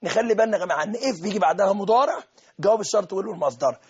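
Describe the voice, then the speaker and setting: Egyptian male teacher, gravelly and high-pitched, walks the class patiently through each example. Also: animated, rapid-fire, darkish tone, muffled recording. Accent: Egyptian Arabic. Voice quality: husky, gravelly voice with frequent throat-clearing. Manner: step-by-step explaining, translating each phrase, addressing the class. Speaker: English teacher. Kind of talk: classroom grammar explanation.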